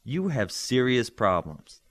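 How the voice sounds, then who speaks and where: Male reader as young boy student, audiobook character: wry, questioning, easily exasperated, very animated intonation. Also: medium pitch, slow pace.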